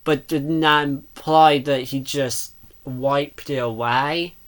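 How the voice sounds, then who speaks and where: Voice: medium pitch, steady tone, slow. A video reactor giving casual commentary in a questioning, nitpicking, rambling way.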